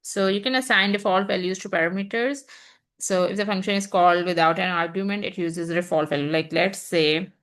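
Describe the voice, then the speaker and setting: A young woman teaching programming in an unhurried, think-aloud way, pausing and restarting as she types examples. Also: fairly low pitch, fairly even intonation, a bit bright. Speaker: programming instructor. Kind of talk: screen-recorded coding tutorial.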